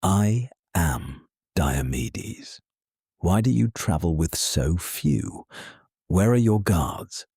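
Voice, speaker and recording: A man's voice: low-pitched, expressive, drawn-out words, vocal fry, darkish tone, breathy edge, dry recording, studio quality, full character voice. A man voicing a battle-hardened, terse and wary warrior in a fantasy audiobook. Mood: wary, questioning.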